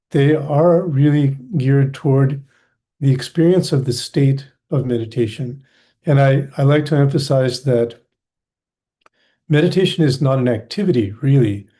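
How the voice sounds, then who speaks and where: Mellow-voiced older male meditation teacher. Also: mid-range voice. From USA. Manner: gentle and explanatory. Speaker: meditation instructor. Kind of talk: guided meditation introduction.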